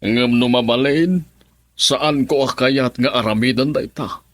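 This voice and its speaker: An actor playing a determined, lovestruck older suitor in a radio drama, with an Ilocano accent. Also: male voice, mid-range voice, a little slow, no echo, lightly acted.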